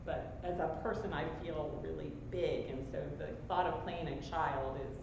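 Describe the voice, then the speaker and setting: A woman's voice: sits low, steady tone, moderate pace, gravelly. A woman who acts in community theater, speaking in a reflective, candid way about a role. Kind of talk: casual cast chat. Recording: very echoey room with background noise.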